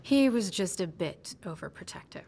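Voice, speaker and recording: A woman's voice: mid-range voice, animated, moderate pace, darkish tone, a little hiss or hum, close to an everyday voice. A young woman playing a tough but self-doubting soldier in a video game, conversational and wry. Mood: reminiscing. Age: young adult. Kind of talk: video game cutscene acting.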